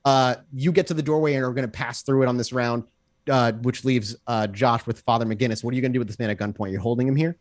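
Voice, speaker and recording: A man's voice: medium pitch, fairly even intonation, fast, dry recording. A man running a tabletop game as game master, narrating in an improvised, conversational way.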